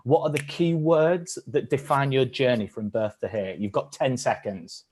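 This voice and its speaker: A man's voice: medium pitch, normal speed, a little mellow, slight background noise. A man leading an online acting workshop, encouraging and upbeat as he coaches the participants. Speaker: workshop leader. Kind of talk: live-streamed acting workshop.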